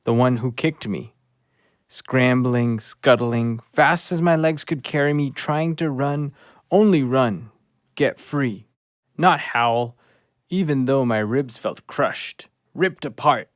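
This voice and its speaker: Man voicing small devoted dog, audio drama, earnest, measured storytelling, unhurried, dry close sound. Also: mid-range voice, no room sound, lightly acted. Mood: pained. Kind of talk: audio play monologue.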